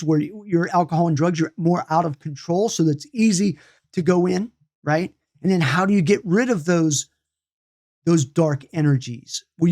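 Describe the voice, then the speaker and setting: A man's voice: fairly high pitch, a little mellow. A man in his late fifties giving a loose, conversational lesson on energy healing and meditation, restarting and repeating himself as he goes. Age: 50s. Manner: loose, repetitive, many restarts and asides.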